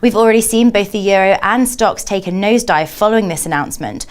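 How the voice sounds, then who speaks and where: A woman's voice: medium pitch, fast talker, slight background noise. A woman working as a television journalist, delivering scripted questions in a broadcast news interview.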